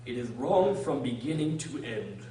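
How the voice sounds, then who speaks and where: Guy doing a grumpy curt caterpillar for a radio play, slow n pompous, echoey, hissy distant mic. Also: mid-range voice, sing-song, mellow. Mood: stern. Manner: terse, pompous, deliberate. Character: curt, imperious, contrary caterpillar. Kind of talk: amateur radio drama acting.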